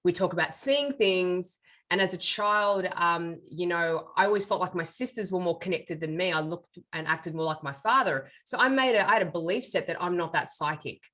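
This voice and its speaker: A woman's voice: lowish voice, moderate pace, bright, forceful tone. A middle-aged woman, a medium and author, telling her life story as a guest in an interview in an earnest, reflective way. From Australia. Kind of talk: interview conversation.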